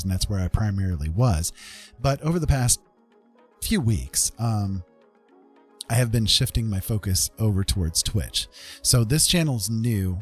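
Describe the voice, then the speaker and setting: A man talking casually and candidly as a livestream host, confiding in listeners in a halting, conversational way. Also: fairly low pitch, a little mellow.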